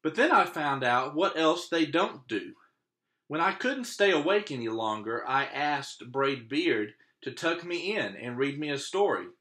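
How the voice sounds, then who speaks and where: A man's voice: a little high, sing-song, measured pace, bright, forceful tone. A man reading a picture book aloud expressively as a teacher, stopping to put questions to young listeners.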